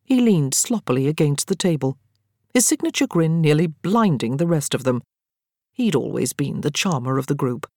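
An English woman narrates a scripted novel with wry poise. Her intonation is very animated and varied, her phrasing measured. The recording is dry and intimate, as in an audiobook studio. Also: deep voice, no echo.

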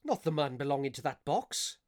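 An actor playing a practical-minded Victorian gentleman narrating a ghost story in a measured, literary way for a radio play. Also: on the high side, very animated, no room sound, studio quality. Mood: alarmed.

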